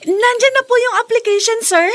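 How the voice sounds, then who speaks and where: A woman's voice: very high-pitched, normal speed, fairly bright tone. A woman playing a sweet, eager, polite teenage schoolgirl in a Filipino radio drama. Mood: polite, expectant. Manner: polite, earnest.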